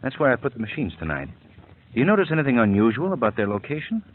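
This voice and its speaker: An actor playing a suave male crimefighter in an old radio drama, with an expressive delivery. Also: medium pitch, fast, close to an everyday voice. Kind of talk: 1940s radio acting. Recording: tinny old radio broadcast with faint hiss.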